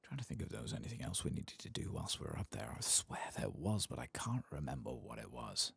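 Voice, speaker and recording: A man giving soft-spoken ASMR game commentary, murmuring and often slipping into whispers close to the microphone. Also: a bass voice, huge pitch swings, fast talker, creaky, breathy edge, no room sound.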